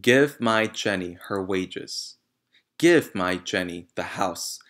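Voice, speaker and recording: A man voicing a menacing male ghost in a storybook, slow, deliberate and commanding. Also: sits low, lively intonation, fairly bright tone, heavily acted. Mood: slow menace.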